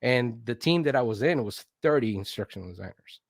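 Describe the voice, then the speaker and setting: A man's voice: mid-range voice, fairly quick, vocal fry, dry recording. Guy late 40s, spanish speaker, chatty storytelling, quotes his own thoughts, keeps checking in, dry sound no room. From Venezuela. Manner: animated self-quoting, often checks in with "right?". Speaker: interview guest, consultant in instructional design. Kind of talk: conversational personal storytelling.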